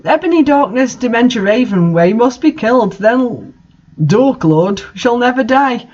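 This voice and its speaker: A man's voice: very high-pitched, lively intonation, measured pace, a little mellow, muffled recording, pitched above the performer's own voice, full character voice. A man voicing a cruel, scheming wizard villain in a storybook reading, sneering and commanding. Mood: menacing.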